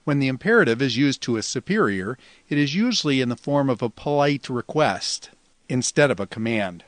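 A man's voice: medium pitch, animated, fairly quick. A man giving an instructional grammar lecture as a teacher, explaining step by step in a didactic, lightly folksy way. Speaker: teacher of New Testament Greek.

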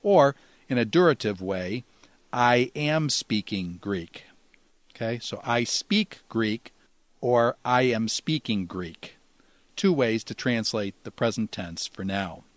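A man speaks as a teacher walking students through a lecture. His delivery is didactic and explanatory, with a lightly folksy touch. The sound is dry, with hardly any room around the voice, and his pitch sits in an ordinary male middle range. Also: expressive, takes it slowly, no echo. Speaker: teacher of New Testament Greek. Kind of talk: instructional lecture, explaining with examples.

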